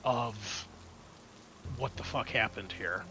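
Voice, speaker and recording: A man's voice: on the low side, slow pace, noisy background. A man narrating as game master of a tabletop horror game, in a casual, sweary, descriptive way.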